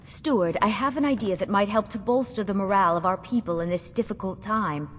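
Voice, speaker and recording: A woman's voice: fairly quick, a bit bright, lightly acted. An actress playing an earnest, naive and entitled young noblewoman ruler in a fantasy game, speaking formally. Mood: eager. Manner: formal, regal. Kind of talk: fantasy game character acting. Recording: reverberant hall, faint rumbling noise.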